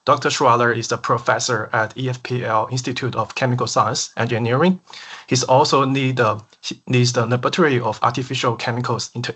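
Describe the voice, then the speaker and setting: A man's voice: medium pitch, sharp tone, breathy edge. A man, a PhD student, reading a formal introduction of a guest speaker at a seminar and stumbling over names and words. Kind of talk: read introduction.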